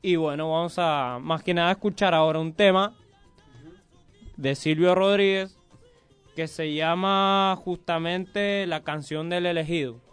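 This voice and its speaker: Puerto Rican man, young, playful radio co-host, smooth tone. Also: fairly high pitch, fairly even intonation, unhurried, a bit bright, soft background music. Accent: Puerto Rican Spanish. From Puerto Rico. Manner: playful, teasing, rambling. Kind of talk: joking radio banter and music introduction.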